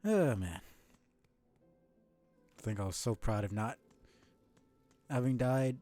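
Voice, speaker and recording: A man's voice: mid-range voice, lively intonation, slow pace, warm tone, faint music behind. A man doing casual live commentary as he plays a video game, muttering to himself with mild swearing.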